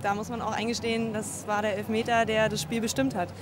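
A woman's voice: a little high, flat delivery, quick pace. A young woman, a football fan, chatting in a casual street interview outdoors with noise around her. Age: young adult. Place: outdoors on a wooded path among fans. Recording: clear outdoor background noise.

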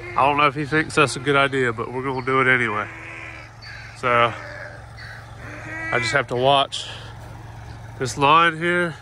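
A man's voice: mid-range voice, normal speed, long pauses. A man in casual, practical talk, explaining each step of a tree removal as he films on a farm. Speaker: tree service worker filming his job. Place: outdoors on a farm. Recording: outdoor noise, chainsaw and tractor.

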